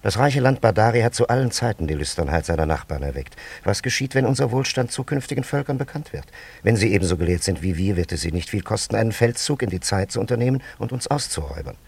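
An actor playing a dignified, pleasure-loving time traveller from an ancient land in a radio drama, with a gravelly, husky voice and lofty, formal diction. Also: male voice, fairly low pitch, lively intonation, quick pace, hardly any pauses, a little mellow, heavily acted. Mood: confiding, suspicious. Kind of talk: German radio drama acting.

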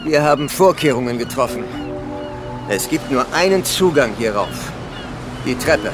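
An actor playing a calm, controlling leader of island survivors in a radio drama, speaking with measured, patronizing authority. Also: male voice, mid-range voice, music in the background, lightly acted. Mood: grave, explanatory. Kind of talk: German radio drama acting.

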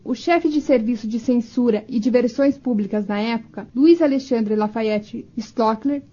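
A woman's voice: a little high, moderate pace, warm tone, slightly rough. A Brazilian woman reading scripted narration as a radio documentary narrator. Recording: dull, band-limited audio.

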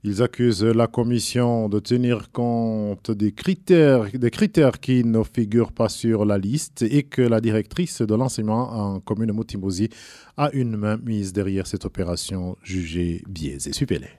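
A man reading the midday radio news as anchor, in a measured, formal delivery. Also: on the low side, mellow, no echo, clean studio sound. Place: radio studio.

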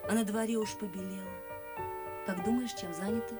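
A woman's voice: mid-range voice, steady tone, a little fast, close to an everyday voice. An actress playing a romantic, proud, guarded young lady of seventeen in a verse radio play, with refined theatrical delivery. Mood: dreamy, rapt. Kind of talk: classic verse stage acting for radio. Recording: music under the voice. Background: music.

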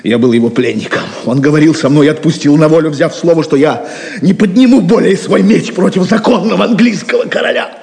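A man's voice: a little high, brisk, gravelly, a little mellow. An actor playing a grave, honourable knight reporting to his prince in an audio drama. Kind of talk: theatrical audio drama acting. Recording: long reverberant hall sound.

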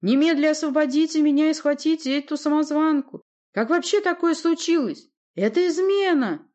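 A woman's voice: high voice, moderate pace, darkish tone, voice pushed up, close to an everyday voice. A woman voicing a fat, deposed prince in a story, blustering and outraged.

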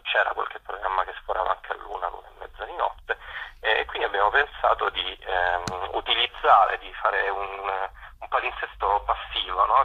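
A man being interviewed on radio by phone, explaining things in a halting way with many pauses. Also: sits low, gravelly, bright, forceful tone, husky. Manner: hesitant, halting with frequent pauses. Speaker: community radio programmer, interview guest. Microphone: phone call. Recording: dull telephone call.

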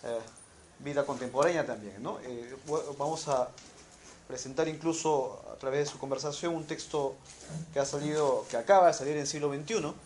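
A man moderates a university event in a formal tone, ending many phrases with a short tag question. His voice is slightly high, with faint noise underneath. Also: normal speed, darkish tone. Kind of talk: introducing a speaker. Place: university lecture room.

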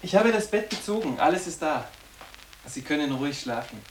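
Schauspieler als hallig entfernter, hoch klingender Internatsschüler. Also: male voice, fairly high pitch, expressive, brisk, natural voice. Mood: matter-of-fact. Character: teenage schoolboy, matter-of-fact, independent. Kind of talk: literary radio drama acting. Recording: roomy, distant microphone, background noise.

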